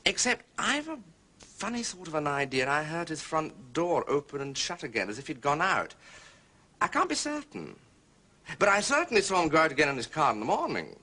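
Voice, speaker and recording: An actor playing a blunt, slightly uneasy gentleman witness, clipped and defensive, in a period detective drama. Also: a little high, huge pitch swings, brisk, exaggerated voice. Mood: recounting.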